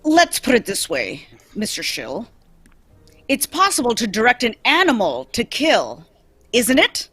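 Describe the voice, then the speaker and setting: Blunt, dogged detective read by a woman; lively, dry close mic. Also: on the high side, a little slow, breathy edge, no echo, soft background music, exaggerated voice. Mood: stern. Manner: firm, questioning. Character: tough male police detective, blunt and dogged. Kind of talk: live old-time radio table read.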